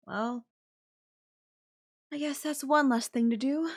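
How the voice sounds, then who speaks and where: Woman acting a slow, whimsical, airy goddess. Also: high-pitched, voice pushed up, full character voice. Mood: wistful, detached. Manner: slow, breathy musing with long dramatic pauses. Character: whimsical, airy, flippant yandere goddess watching Earth die. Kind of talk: ASMR audio roleplay acting.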